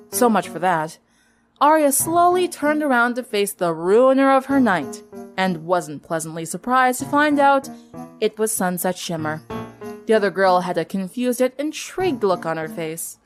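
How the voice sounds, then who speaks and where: A woman's voice: medium pitch, expressive, a little slow. A woman reading a story aloud as scripted dramatic narration. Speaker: fan fiction narrator. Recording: music under the voice. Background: music.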